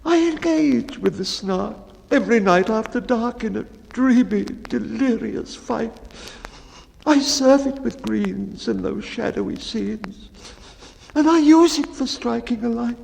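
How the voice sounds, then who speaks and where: A man voicing a timid, mournful baker in a dramatic poetry reading, with a tearful, drawn-out lament. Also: high-pitched, vocal fry, darkish tone, long reverb tail, slight background noise, voice pushed up, full character voice.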